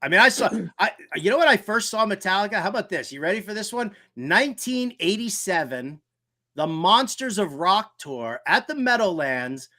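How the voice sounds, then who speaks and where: A man's voice: high voice, very animated, moderate pace, bright, forceful tone. A middle-aged man hosting a casual sports talk show, emphatic and repeating phrases for effect. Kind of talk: casual radio chat.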